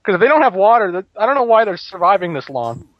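A man's voice: sits high, very animated, fast, dry recording. A man giving casual, amused commentary on a video game in a dull, dry recording.

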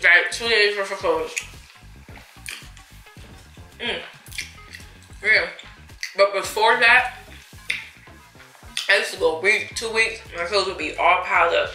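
Bright, expressive American woman in an echoey room. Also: mid-range voice, slow, pauses often, soft background music. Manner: expressive, sassy, emphatic. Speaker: vlogger. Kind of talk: casual storytime chat during a mukbang. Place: indoors in a small room at a table.

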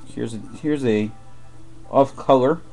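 A man's voice: medium pitch, takes it slowly, dark tone, faint music behind. A man giving muttered play-by-play while opening packs of trading cards during a live break. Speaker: card break host. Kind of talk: live card break commentary. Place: room with boxes on a desk. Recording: faint wrapper crinkling behind.

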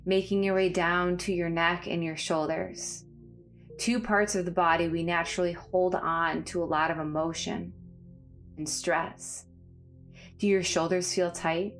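A woman leading a guided body scan meditation in a calm, soothing, measured way, leaving pauses between phrases. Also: fairly low pitch. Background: background music.

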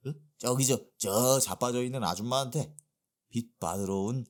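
A man's voice: mid-range voice, moderate pace, close to an everyday voice. A man playing a crude male loan shark thug in an audio drama, taunting. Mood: jeering. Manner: jeering, slurred taunting. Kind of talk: amateur audio drama acting.

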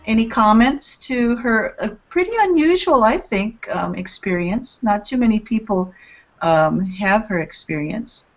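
A woman's voice: medium pitch, lively intonation, measured pace. A middle-aged woman hosting a casual interview over a video call. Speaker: host. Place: indoor room. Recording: dull lo-fi video-call audio.

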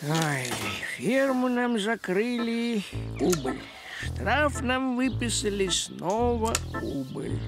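A thrifty, grumbling tomcat from a cartoon, drawling slowly with big theatrical swings of pitch. He sounds self-important, over loud music. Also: sing-song, full character voice. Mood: mournful. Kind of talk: cartoon voice acting.

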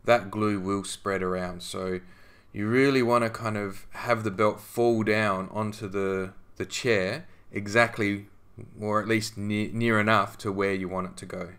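A man narrating a scale modelling tutorial as a voice-over, relaxed and conversational with frequent ums and restarts. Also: lowish voice.

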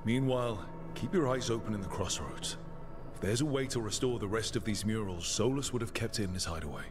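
Actor as lowish, wry male companion. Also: fairly quick, music in the background, close to an everyday voice. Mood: wry, practical. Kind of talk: game party dialogue.

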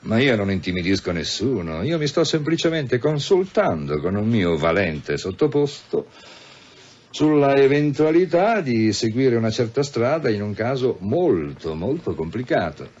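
An actor in an Italian radio drama playing a pompous senior police official, mock-polite and deliberate, with veiled menace. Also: male voice, medium pitch, very animated, a little mellow, breathy edge. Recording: dull, muffled radio drama recording.

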